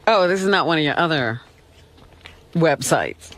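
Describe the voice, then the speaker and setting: A woman's voice: deep voice, lively intonation, moderate pace, fairly bright tone, a little hiss or hum. A woman co-hosting a radio show, casually challenging and correcting others in a matter-of-fact way. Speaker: radio co-host.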